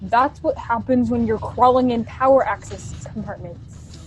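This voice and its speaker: A woman's voice: on the high side, unhurried, close to an everyday voice, poor audio. A woman playing a flippant, wisecracking young colonist in a student sci-fi radio play. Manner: flippant, joking.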